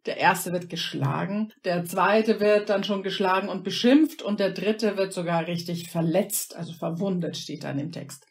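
A woman speaking as a pastor and guest expert, retelling and explaining in an interview. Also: mid-range voice.

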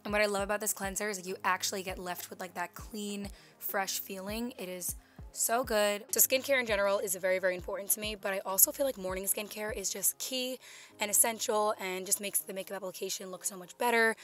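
Slightly raspy young woman gushing over skincare. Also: medium pitch, fairly bright tone. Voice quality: slightly raspy, losing her voice. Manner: gushing, enthusiastic product talk. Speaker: beauty vlogger. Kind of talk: voiceover for a skincare video. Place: home room, voice-over recorded separately.

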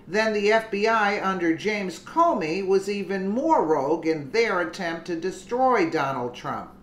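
A commentator reading a news article aloud with deliberate delivery and casual asides. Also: medium pitch, reverberant, slight background noise.

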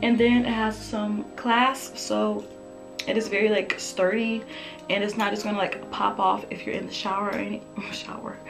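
A young woman with a slightly raspy voice, chatting upbeat and casual through a clothing try-on haul in her bedroom, talking to the camera up close. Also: mid-range voice, rough recording. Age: young adult. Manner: chatty, upbeat, lots of fillers. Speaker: fashion vlogger. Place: bedroom with photo-collage wall, indoors.

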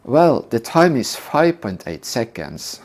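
An older man calmly teaching a scripted lesson on flying approaches. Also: medium pitch, noisy background. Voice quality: calm, measured explanation. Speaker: flight instructor. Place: indoor room.

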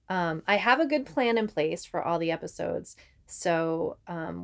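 A woman co-hosting a podcast in a conversational style. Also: fairly low pitch. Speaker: podcast co-host.

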